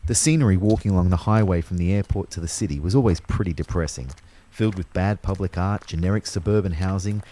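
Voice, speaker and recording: Man acting a low-voiced, brooding bewildered ghost. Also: quick pace, mellow, close to an everyday voice. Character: Kyle, bewildered young male ghost narrating his afterlife. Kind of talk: first-person scripted audio drama narration with dialogue.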